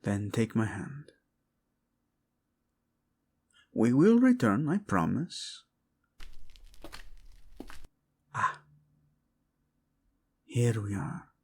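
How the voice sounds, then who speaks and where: Romantic audio drama lead: male actor as a devoted king, intimate and teasing, husky with widely swinging intonation. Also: on the low side, wildly swooping pitch, full character voice. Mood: playful, tender. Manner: soft, intimate, teasing, halting. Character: devoted young Spanish-speaking king, hot-tempered yet playfully tender. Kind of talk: romantic audio roleplay addressed to the listener.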